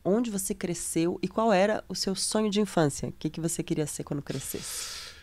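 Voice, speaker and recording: A woman hosting a business podcast, reading a clear, measured scripted intro and then asking interview questions. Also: lowish voice, darkish tone.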